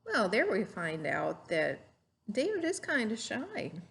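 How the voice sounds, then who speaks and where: A woman's voice: medium pitch, wildly swooping pitch, slow, creaky, fairly bright tone. A woman reading a story aloud to children like a teacher, adding explanations and questions for the listener. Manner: didactic, asks the listener questions.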